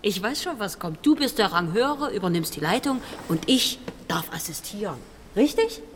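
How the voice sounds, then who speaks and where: An actress playing a sharp, defiant young female police detective in a German radio drama. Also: medium pitch, animated, normal speed, close to an everyday voice. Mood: defiant, sarcastic. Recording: long reverb, faint noise.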